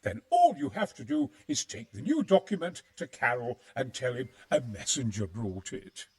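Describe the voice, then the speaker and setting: An actor playing a crafty, conspiratorial schemer in a video game cutscene, with a sly, hedging, expressive manner. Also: male voice, mid-range voice, moderate pace. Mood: secretive, urgent. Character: crafty, conspiratorial male schemer sheltering in a monastery.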